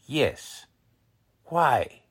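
An actor playing a gruff, bossy, uneducated police lieutenant with blustery, ungrammatical speech in a 1940s-style radio drama. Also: male voice, mid-range voice, sing-song, very slow, a bit bright, exaggerated voice. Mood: interrogating.

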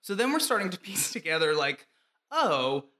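A man's voice: high-pitched, very animated, fast talker, bright, forceful tone. A man co-hosting a casual chat, telling an anecdote animatedly, laughing often and using "like" a lot. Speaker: podcast co-host.

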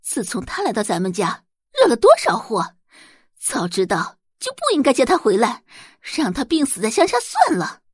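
A woman voicing a haughty, spiteful middle-aged rich wife and mother in an audio drama, shrill, indignant and sneering. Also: wildly swooping pitch, normal speed, breathy edge, dry recording, studio quality, heavily acted. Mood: furious, bitter.

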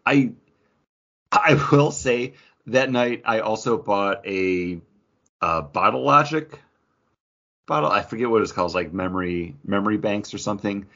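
A man with a fairly low but bright, forceful voice co-hosts a podcast. He talks casually, as if reviewing a drink among friends. The recording is slightly dull and lo-fi. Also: animated, normal speed, long pauses. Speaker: podcast co-host. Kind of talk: casual chat, craft beer review. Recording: dull lo-fi audio.

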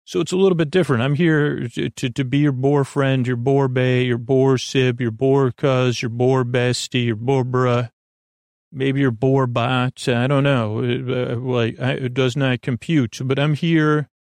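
Gravelly-voiced man rambling at bedtime. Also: mid-range voice, fairly even intonation, darkish tone, dry recording. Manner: meandering, halting, repeats and trails off. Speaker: sleep podcast host.

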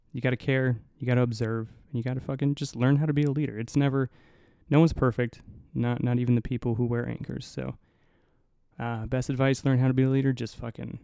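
A young man hosting a solo podcast in a low, mumbly voice, rambling and swearing casually, right up on the mic. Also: creaky, dark tone, clean tone, no echo, studio quality. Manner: rambling, profane, self-mocking. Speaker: podcast host. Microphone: close to the mic.

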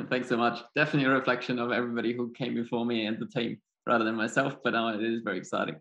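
A man's voice: mid-range voice, level tone, quick pace, fairly bright tone, highs cut off. A man from Austria with a German accent, answering interview questions in an informal, modest, conversational way. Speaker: podcast guest, startup accelerator chief executive.

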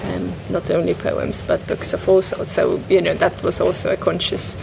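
A woman whose first language is Estonian speaks thoughtfully as a guest, pausing often and restarting her sentences. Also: on the low side, level tone, vocal fry. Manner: thoughtful, hesitant, many restarts and "you know". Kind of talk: reflective conversation about a poem. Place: bookshop, indoors. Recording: noisy public bookshop.

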